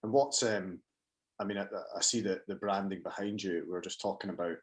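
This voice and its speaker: A man's voice: sits low, fairly even intonation, fairly quick. A man interviewing a guest, asking casual, stumbling questions.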